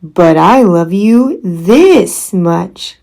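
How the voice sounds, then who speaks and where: A woman's voice: fairly low pitch, lively intonation, extremely slow, creaky, mellow, exaggerated voice. Woman as a patient, tender big hare. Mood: tender, playful. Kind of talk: children's storybook character voice.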